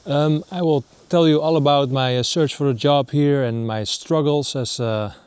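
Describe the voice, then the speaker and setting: A man in his thirties from the Netherlands, a native Dutch speaker, talking casually to camera in a halting way with many fillers. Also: a little high, darkish tone, clean tone, no echo. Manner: halting, many ums and uhs. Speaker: nature vlogger. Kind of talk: casual vlog talk to camera. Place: outdoors in northern Sweden.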